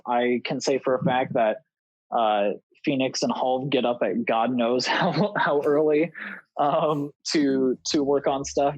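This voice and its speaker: Halting man in a dry, close recording. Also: medium pitch, dry recording, poor audio. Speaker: podcast guest, audio drama creator. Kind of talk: casual podcast chat.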